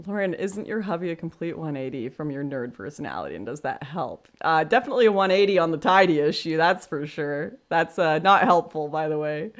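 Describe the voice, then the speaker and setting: Deep-voiced woman chatting on a livestream. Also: fairly bright tone. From Canada. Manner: chatty, frank, self-deprecating, thinks aloud with restarts. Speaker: livestream host. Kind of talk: casual livestream chat reading super chats.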